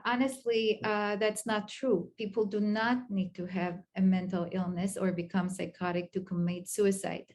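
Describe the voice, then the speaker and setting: Israeli woman lecturing, halting and hesitant. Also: medium pitch. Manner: halting, many fillers and restarts. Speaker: clinical psychologist giving a lecture. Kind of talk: online lecture.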